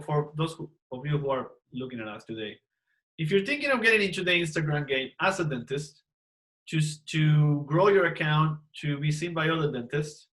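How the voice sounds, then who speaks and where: A man's voice: on the high side, a bit bright. A man, a dentist who teaches courses, giving advice on a panel, emphatic and asking rhetorical questions while repeating words as he goes.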